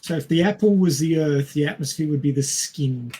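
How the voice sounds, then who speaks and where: A man's voice: on the high side, brisk, warm tone, husky. A man in a casual podcast discussion, speaking hesitantly and carefully qualifying his points. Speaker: podcast panelist.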